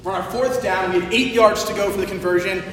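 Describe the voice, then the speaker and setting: A high-pitched male preacher speaks from some distance in a large, very reverberant hall. His voice is a little hoarse, and he tells his story conversationally, with animated emphasis and stretched-out phrases. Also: fast talker. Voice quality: slightly hoarse. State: hoarse from shouting the day before. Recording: echoey large hall, distant, faint rumbling noise.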